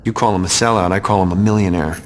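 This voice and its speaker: A man's voice: low voice, fairly even intonation, fast talker, big-room sound, lightly acted. An actor playing a smug corporate man in a video game, bargaining with dry, polite, unruffled sarcasm. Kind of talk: video game cutscene acting.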